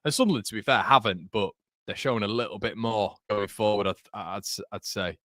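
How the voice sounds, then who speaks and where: A man with a Yorkshire accent gives loose, chatty running commentary on a live football watchalong, breaking off to answer viewers in the chat and laugh at his own jokes. His voice sits fairly low, recorded close and dry with no room sound. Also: a little fast, fairly bright tone, no room sound.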